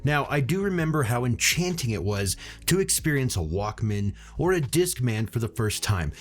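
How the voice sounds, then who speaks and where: A man's voice: mid-range voice, big pitch swings, normal speed, faint music behind. A man hosting a product review, reading scripted narration to his viewers. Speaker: reviewer and channel host. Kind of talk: scripted video narration, audio gear review.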